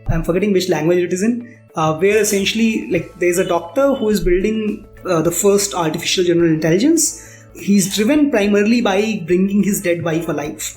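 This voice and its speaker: A man's voice: high voice, fairly quick, darkish tone. A man with an Indian accent answering interview questions as a founder, candidly explaining and repeating phrases for emphasis. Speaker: startup founder being interviewed. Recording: roomy echo, music underneath.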